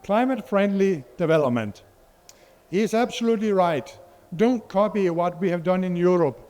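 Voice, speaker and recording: Clear-voiced Danish man giving a talk. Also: high voice, animated, moderate pace, a little mellow. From Denmark. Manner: hesitant, many fillers and restarts. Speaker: guest speaker, water expert. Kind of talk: conference presentation.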